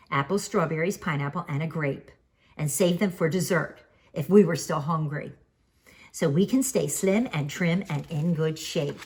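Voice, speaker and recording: An older woman reads a children's story aloud. Her voice sits a little low but moves up and down with lots of animation. The delivery is theatrical and sing-song, leaning hard on each question and answer. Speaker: author reading her picture book aloud.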